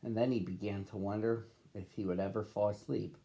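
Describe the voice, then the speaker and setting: A man reading a picture book aloud to children as a storyteller, with expressive phrasing and drawn-out words. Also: lowish voice, creaky. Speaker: storybook reader.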